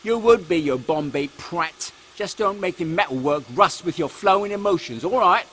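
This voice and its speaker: Gruff, deadpan British engineer. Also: male voice, high-pitched, normal speed, pitched above the performer's own voice. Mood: sardonic. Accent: British English. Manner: deadpan, blunt, mocking. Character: gruff, sardonic British working-class engineer, male. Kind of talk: steampunk audio comedy acting.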